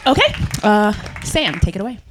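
A woman's voice: mid-range voice, big pitch swings, normal speed, very noisy. A woman moderating a panel discussion in front of an audience, speaking earnestly into a handheld microphone with frequent ums. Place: event room with audience.

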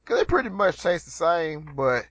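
Lively, bright-voiced man joking around. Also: moderate pace, no echo, dull audio. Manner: loud, playful, swings to a put-on deep voice. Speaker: podcast co-host. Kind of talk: casual joking chat.

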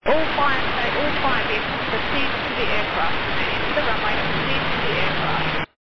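A woman's voice: fairly high pitch, normal speed. Female emergency controller, urgent repeated commands, heavily noisy radio channel. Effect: aviation radio. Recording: muffled, very noisy radio transmission.

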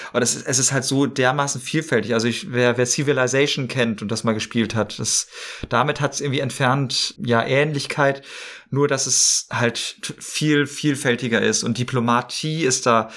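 Enthusiastic man chatting at middle pitch. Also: fairly even intonation, normal speed, fairly bright tone. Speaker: podcast guest. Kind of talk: casual chat about games.

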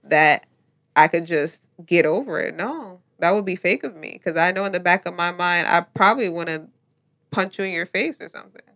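A blunt, bossy woman talking in a low, gravelly voice, with no room sound at all. She swears freely and talks over others in a loose group chat. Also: normal speed, fairly bright tone, no room sound. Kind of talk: casual chat.

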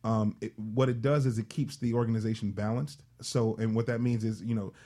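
Male panelist, explanatory and businesslike, rapid delivery, soft-edged mellow tone. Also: on the low side, fairly even intonation. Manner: explanatory, uses business jargon. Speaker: panel guest advising on nonprofit management. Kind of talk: conversational panel discussion.

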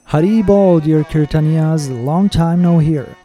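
A man hosting a podcast, reading his scripted episode introduction and news in a measured, steady way. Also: on the high side, soft background music. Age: adult with children. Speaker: podcast host.

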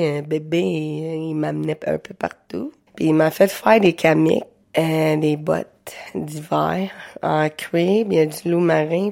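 A woman in her thirties with a Quebec French accent, telling personal stories slowly and haltingly in simple phrases. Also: deep voice, gravelly, a little mellow. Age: 30s. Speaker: interviewee, craftswoman. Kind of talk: personal storytelling.

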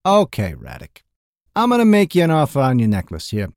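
A gruff, skeptical middle-aged shopkeeper, put on by a male narrator, blunt and crude, with his voice leaping up in disbelief. The tone is dark and mellow, and the reading sounds close and dry. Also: medium pitch, wildly swooping pitch, brisk, no room sound. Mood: gruff, incredulous.

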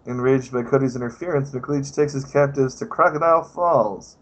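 A man's voice: mid-range voice, moderate pace, poor audio. A man hosting a podcast, reading a film plot summary aloud and riffing on it with swearing and laughter. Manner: swears often, laughs, riffs on what he reads. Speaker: podcast host.

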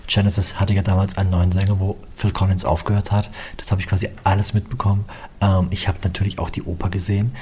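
A man's voice: deep voice, flat delivery, fast, a little mellow, husky. A young man, a musician, talking casually and at length as an interview guest, with lots of filler phrases. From Germany. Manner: chatty, rambling, with filler phrases. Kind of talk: relaxed conversational interview.

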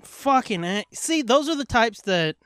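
Guy with a pretty high voice, dry close sound, sweary podcast banter. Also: expressive, unhurried, fairly bright tone, smooth, no echo. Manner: crude, swears freely. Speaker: podcast co-host. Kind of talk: casual, profane podcast banter and joke reading.

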